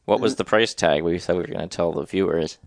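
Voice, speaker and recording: A man's voice: deep voice, fast, no room sound. A man with a Michigan accent co-hosting a sports podcast, delivering dry, matter-of-fact quips. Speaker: podcast co-host. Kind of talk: casual sports chat.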